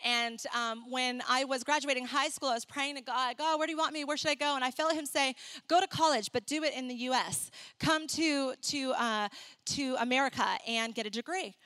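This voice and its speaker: A young adult woman who grew up in Ecuador, preaching and telling her own story in a candid, animated way. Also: high-pitched, normal speed, no room sound. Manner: animated, candid storytelling with laughs and acted asides. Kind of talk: sermon with personal story.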